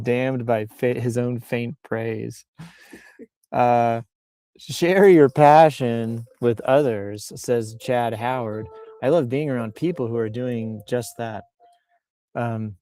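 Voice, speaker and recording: A man's voice: medium pitch, slow, mellow, no room sound, studio quality. A man hosting in a casual, improvised comic style, playfully reading posts aloud and rambling off into asides. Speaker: host reading and riffing on social media posts.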